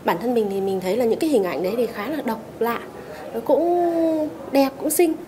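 A woman in her twenties giving an informal interview answer, a little halting. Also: fairly high pitch, a crowd in the background. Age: 20s. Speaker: social media user interviewee.

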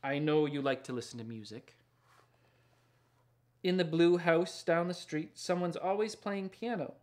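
A man in his thirties reading a picture book aloud in a gentle, unhurried and sometimes hushed way. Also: on the high side. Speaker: children's picture book author and illustrator. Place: small indoor studio lined with books and records.

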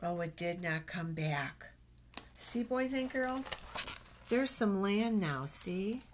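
An older woman reads a picture book aloud to small children at an unhurried pace. Her pitch is average, and she often exclaims and stretches out words as she points things out. It is a webcam recording in a small office, with slight background noise. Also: lively intonation. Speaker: storytime reader for children. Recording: noisy webcam recording with clicks.

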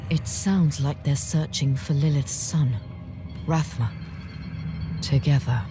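An actress playing a solemn, guarded seer in a dark fantasy game, grave, measured and understated. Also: female voice, low-pitched, over music.

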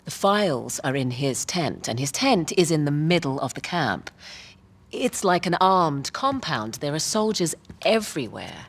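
An actress playing a sly, confident treasure hunter in a video game, with a dry, teasing manner. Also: female voice, deep voice, sing-song, unhurried, husky, exaggerated voice. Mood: wry, teasing. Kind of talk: cinematic video game acting.

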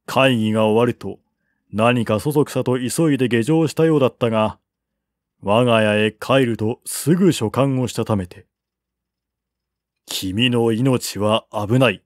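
A man reading a historical novel aloud as scripted narration, in a measured, formal storytelling manner. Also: medium pitch, dry recording, clean studio sound. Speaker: audiobook narrator.

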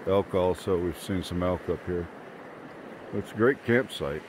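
A man's voice: deep voice, a little slow. A man in his sixties chatting casually into a handheld phone camera at a mountain campsite, folksy and rambling. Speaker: vlogger. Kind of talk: casual vlog narration. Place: outdoors at a mountain campsite by a creek. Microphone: phone camera at arm's length. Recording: faint outdoor noise of a stream. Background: running creek water.

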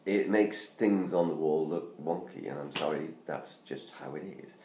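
A middle-aged man from the UK, hoarse from laryngitis, chatting casually with many ums and restarts as he promotes his book from his living room. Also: a bass voice, a little slow, darkish tone. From United Kingdom. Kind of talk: casual self-made promo video. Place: living room at home by a Christmas tree. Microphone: tablet camera at close range. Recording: roomy home sound with faint noise.

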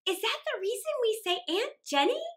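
A woman's voice: extremely high pitch, very animated, moderate pace, a bit bright, pitched above the performer's own voice, heavily acted. A woman voicing a curious, tender-hearted little girl in a storybook, wondering and earnest. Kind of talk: storybook character voice.

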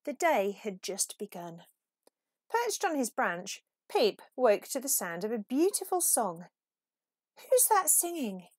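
Animated woman reading a children's story. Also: fairly high pitch, unhurried, breathy edge, no room sound, studio quality. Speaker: storybook reader. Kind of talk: expressive children's story read-aloud.

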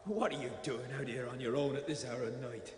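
An actor playing a gruff, scolding gamekeeper in a 1970s radio play. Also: male voice, mid-range voice, brisk, lightly acted. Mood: worried scolding. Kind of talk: 1970s British radio drama acting. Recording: echoey, slightly noisy radio play scene.

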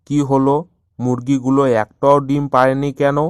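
A man voicing a grumbling, easily outraged tiger who farms eggs in an animated cartoon. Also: mid-range voice, normal speed, smooth, no room sound, studio quality, close to an everyday voice. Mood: puzzled, suspicious. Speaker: egg seller in an animated fable. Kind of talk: cartoon voice acting.

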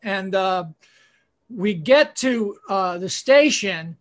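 A man in his sixties doing a rambling, self-deprecating stand-up routine over a webcam video call, often halting with fillers. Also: sits high, lively intonation. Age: 60s. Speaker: stand-up comedian.

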